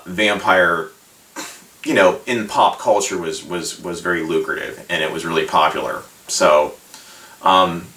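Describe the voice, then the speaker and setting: Low-voiced man, halting and rambling. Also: sharp tone. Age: middle-aged. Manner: halting, digressive, self-correcting. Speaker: host. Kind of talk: casual solo talk, rambling reminiscence. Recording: roomy echo, distant microphone.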